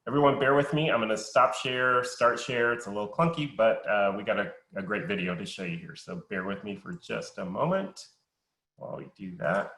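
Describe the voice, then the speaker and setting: Guy hosting a webinar, lowish voice, relaxed and full of ums, roomy echo. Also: moderate pace. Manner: easygoing, many fillers. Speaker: webinar host.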